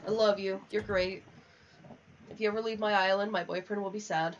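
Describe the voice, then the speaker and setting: A woman's voice: medium pitch, fairly even intonation, brisk. A young American woman rambling casually on a livestream while gaming, chatty and unfiltered with frequent swearing. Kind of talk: casual rambling livestream chat over a video game. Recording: dull lo-fi mic with clear background noise.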